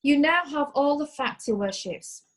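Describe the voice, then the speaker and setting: A woman's voice: sits high, lively intonation, normal speed, fairly bright tone. A woman playing a confident, formal prosecutor addressing the bench in a courtroom play. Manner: formal, assured. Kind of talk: courtroom stage play acting.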